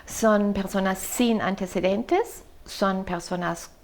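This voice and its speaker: A middle-aged woman with a German accent explains a legal idea at an unhurried, measured pace. She speaks at a mid pitch for a woman. She pauses often, fills the gaps with "eh" and "so", and corrects herself as she searches for words in a language that is not her first. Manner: halting, frequent fillers and self-corrections, says "so". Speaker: law professor. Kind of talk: explanatory interview answer.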